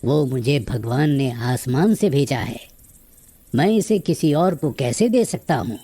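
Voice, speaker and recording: Man playing a slow, dark-voiced storybook king. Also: mid-range voice, animated. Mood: firm, magnanimous. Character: proud, generous king in a cartoon fairy tale.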